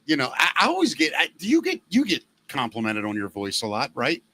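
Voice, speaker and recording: A middle-aged man hosting a casual podcast, a former radio DJ with a loud, gravelly former smoker's voice who tells stories and acts out the voices he quotes. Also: mid-range voice, huge pitch swings, brisk. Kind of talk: casual chat.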